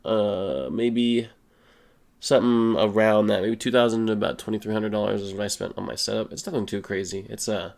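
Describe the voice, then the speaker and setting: A young man with a stuffy, slightly sick voice, casually answering viewer questions as a gaming YouTuber, relaxed and full of fillers. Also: lowish voice, brisk, gravelly. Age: young adult. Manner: relaxed, rambling, many fillers. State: stuffy nose, a little sick. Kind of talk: casual Q and A voice-over.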